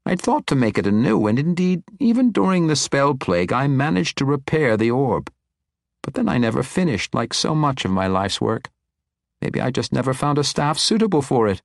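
A man doing an excitable, rambling old wizard, raised pitch. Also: normal speed, creaky, no room sound, clean studio sound. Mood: wistful, animated. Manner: animated, rambling, exclamatory. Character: eccentric, excitable, kindly old male wizard.

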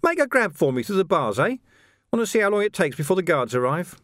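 Guy doing a sly grinning crook voice, high and bouncy, lots of cackling. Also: big pitch swings, fast, a bit bright, dry recording, clean studio sound. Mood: mocking. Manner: wheedling, mocking, laughing. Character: sly cheerful male criminal, sneering and cocky.

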